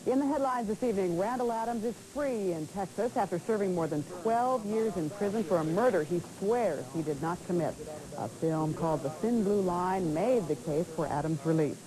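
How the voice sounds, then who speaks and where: A woman's voice: mid-range voice, expressive, normal speed, rough recording. An American woman anchoring the news, reading scripted headlines on a late-eighties television broadcast. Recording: late-1980s TV broadcast with crowd sound.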